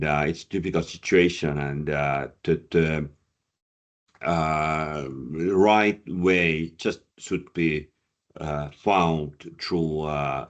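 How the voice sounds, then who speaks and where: Very deep, slow, halting man. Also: highs cut off. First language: Finnish. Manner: halting, many fillers and repeated words. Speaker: career coach and presenter. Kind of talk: answering audience questions after a talk.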